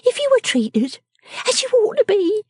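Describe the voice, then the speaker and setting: A woman voicing a sharp-tongued, sickly young girl dressmaker from a storybook, bossy, scolding and snappish. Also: very high voice, very animated, normal speed, no echo, clean studio sound, voice pushed up. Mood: angry, snapping. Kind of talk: storybook character acting.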